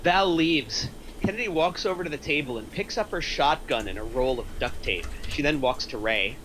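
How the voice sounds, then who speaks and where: Bright-voiced man narrating, faint noise. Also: a little high, lively intonation, moderate pace, low-quality recording. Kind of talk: reading stage directions at a table read.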